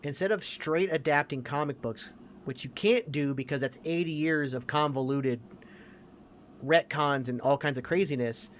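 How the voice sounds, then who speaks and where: A man's voice: fairly high pitch, normal speed, slight background noise. A man co-hosting a podcast, talking casually and emphatically as he gives his opinions. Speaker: podcast co-host.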